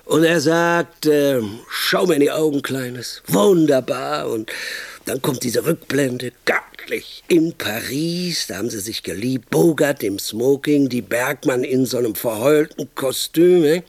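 An actor playing a pompous, crude and impatient film producer in a radio comedy, pitching movie ideas in a bossy, rambling, self-enthused way. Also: male voice, measured pace, creaky, no room sound. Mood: enthused, sentimental. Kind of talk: radio comedy monologue, improvised-sounding pitch.

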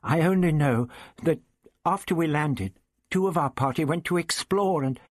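A woman's voice: sits low, moderate pace, no echo, studio quality, voice pushed up. A man voicing a frightened, polite human woman in a story, hesitant and stammering. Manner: hesitant, stammering, breathless pleading. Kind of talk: audiobook character voice.

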